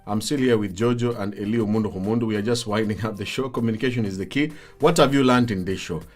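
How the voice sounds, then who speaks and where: A man's voice: lowish voice, fairly quick, faint music behind. A man hosting a live talk show and talking with guests.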